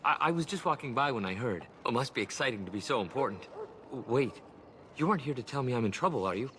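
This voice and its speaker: A nervous young male elf servant from a fantasy game, played by a man. He stammers deferentially and sounds worried about getting in trouble, at an average pitch. Faint background noise sits behind him. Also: expressive, fast talker, a bit bright, natural voice. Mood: anxious, chatty. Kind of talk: video game voice acting.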